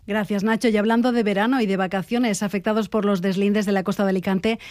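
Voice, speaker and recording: Brisk Spanish woman reading radio news. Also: mid-range voice, steady tone, darkish tone, no room sound, studio quality. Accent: Spain.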